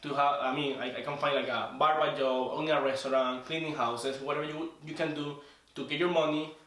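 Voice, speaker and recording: A young man from Venezuela with a strong Spanish accent. He speaks English as a second language, earnest and halting, and repeats words while he searches for the English. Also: on the high side, fairly even intonation, pushed and bright. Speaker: trading student guest. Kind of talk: casual interview storytelling. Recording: echoey room, voice from a distance.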